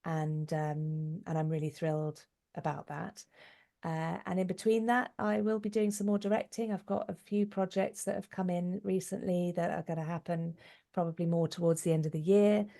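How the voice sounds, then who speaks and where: A middle-aged woman giving a casual interview, telling stories in a chatty, animated way. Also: fairly low pitch, normal speed, creaky. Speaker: interview guest, actress and director.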